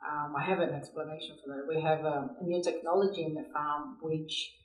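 Low-voiced Brazilian woman, halting and conversational. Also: steady tone. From São Paulo, Brazil. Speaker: podcast guest, agribusiness professional. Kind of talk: candid conversational interview answers. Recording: roomy, echoey sound.

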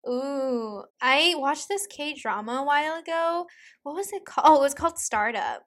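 A woman's voice: sits high, lively intonation, normal speed, fairly bright tone. A young woman from Hong Kong, a native Cantonese speaker, hosting a podcast in upbeat, casual chat. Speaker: podcast host.

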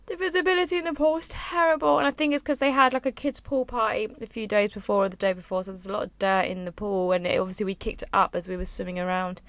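A young woman hosting a casual solo podcast, rambling and chatty with sighs and filler words, her voice lower and a little husky after a late night. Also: steady tone, quick pace, few pauses, dry recording. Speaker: podcast host. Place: on a boat, small space.

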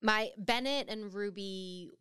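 Woman in her 30s, podcast cohost, bright voice, kinda hesitant, hunts for words, dry close mic. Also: medium pitch, slow pace, clear, resonant tone, no room sound. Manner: hesitant, searches for words, many restarts. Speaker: podcast co-host. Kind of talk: casual chat.

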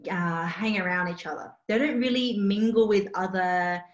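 A woman's voice: medium pitch, normal speed, dull audio. A young woman who grew up with Cantonese, chatting casually and telling stories with lots of fillers. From Australia. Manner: chatty, filler-heavy, animated storytelling. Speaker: interpreting student. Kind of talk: casual chat. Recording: roomy echo.

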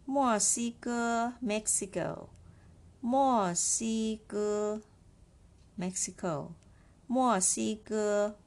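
A woman teaching a language lesson, slowly repeating each word for listeners to practice. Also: mid-range voice, darkish tone. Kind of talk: slow lesson with drills.

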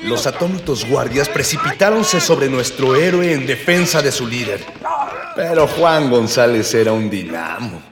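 A man's voice: mid-range voice, lively intonation, normal speed, close to an everyday voice. A man playing a grandiose narrator of a pulp space serial, pompous and florid, in mock-heroic radio style. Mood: pompous, dramatic. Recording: echoey long reverb, music behind.

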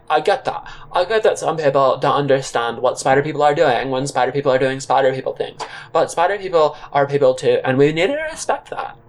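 A man narrating a comic audio drama in the first person, with a rambling, awkward, conversational manner. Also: mid-range voice.

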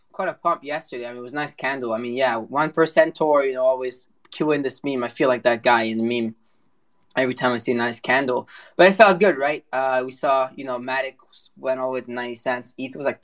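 A male host with a mid-range voice chats casually and puts questions to a guest. His delivery is informal and playful, like relaxed conversation. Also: mid-range voice. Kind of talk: casual chat, asking questions.

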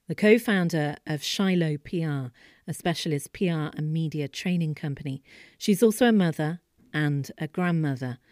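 A woman's voice: deep voice, no room sound, studio quality. A woman host reading a composed, scripted introduction.

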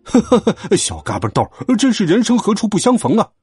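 A man's voice: fairly high pitch, big pitch swings, normal speed, a little mellow, breathy edge, soft background music. A man voicing a boastful, short-tempered schoolboy bully boss in a children's story, blustering and threatening. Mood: smug. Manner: blustering, threatening, scornful laugh.

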